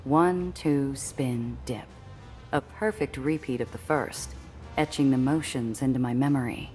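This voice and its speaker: Game heroine telling her story, really deep female voice, composed, soft music under it. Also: a little slow. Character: low-voiced, cool, observant woman narrating her own story. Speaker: narrator and protagonist. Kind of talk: scripted first-person game narration.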